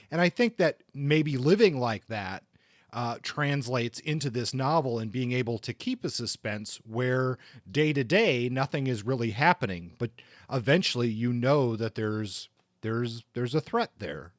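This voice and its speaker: A man's voice: medium pitch, expressive, measured pace, no echo, clean studio sound. A man co-hosting a conversational book discussion, feeling his way through his points with hedged, exploratory phrasing. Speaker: podcast co-host.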